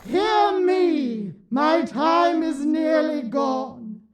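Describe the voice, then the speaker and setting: A woman's voice: high voice, lively intonation, very slow, a little hiss or hum, heavily acted. A woman playing the mournful, stern ghost of a dead businessman in a radio drama, solemn and declamatory. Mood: mournful, warning. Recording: echoey, distant, ghostly reverberant sound.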